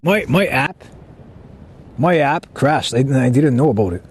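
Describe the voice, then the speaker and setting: A man's voice: medium pitch, lively intonation, a little slow. A man from Japan chatting casually on a livestream, rambling and thinking aloud in informal language with some swearing. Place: outdoors on a street, walking. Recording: clear outdoor street and traffic noise.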